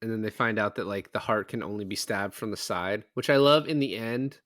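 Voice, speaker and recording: A man's voice: lowish voice, a little fast, fairly bright tone, no echo. Dry-sounding recording, informal man chatting. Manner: joking, sweary, informal. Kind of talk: casual film discussion.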